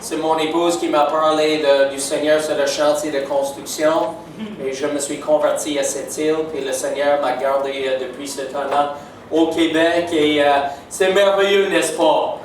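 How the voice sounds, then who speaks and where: A man's voice: fairly high pitch, steady tone, moderate pace, slight background noise. Older English Canadian man preaching in French. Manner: warm, emphatic, keeps asking "n'est-ce pas?". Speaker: guest preacher. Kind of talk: sermon. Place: church sanctuary. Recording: echoey church hall from far off.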